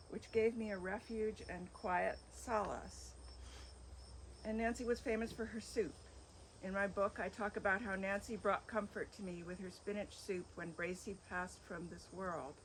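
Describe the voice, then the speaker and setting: A woman speaking at an outdoor memorial, reading a remembrance and a poem in a measured, gentle way. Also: mid-range voice, a little hiss or hum, low-quality recording. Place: outdoors at a home on an island.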